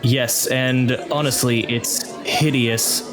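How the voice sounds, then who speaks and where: A man's voice: mid-range voice, steady tone, takes it slowly, natural voice. A man voicing a haughty, bigoted elite guard with a sneering, contemptuous manner in a tabletop roleplay. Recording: loud background music.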